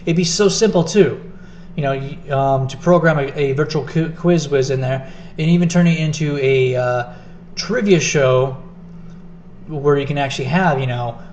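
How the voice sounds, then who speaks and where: A man casually talking to the camera in a vlog, rambling with many fillers and self-corrections, his voice getting hoarse. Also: medium pitch, a little mellow, muffled recording, a little hiss or hum. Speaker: gaming video host. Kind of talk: casual unscripted vlog talk.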